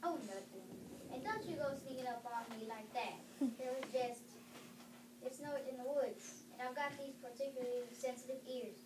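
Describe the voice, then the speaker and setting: A young student with a high voice reads a readers theatre role. The recording is distant and echoey, with clear background noise. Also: normal speed.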